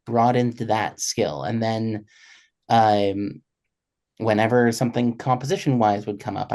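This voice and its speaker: A man's voice: medium pitch, a little mellow. A man in his twenties speaking as a panelist in a reflective conversation, earnest and often correcting himself as he goes. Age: 20s. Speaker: panelist, freelance musician.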